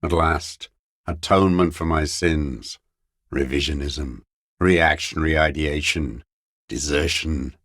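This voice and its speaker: Game role: aged revolutionary holdout, deep-voiced, very slow delivery, scornful, weary and unrepentant. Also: male voice, steady tone, a bit bright, no echo, clean studio sound, full character voice. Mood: grim, resigned. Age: older. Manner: contemptuous, ideological, slow and weary. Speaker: video game character actor. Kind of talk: dramatic game voice acting, bitter monologue.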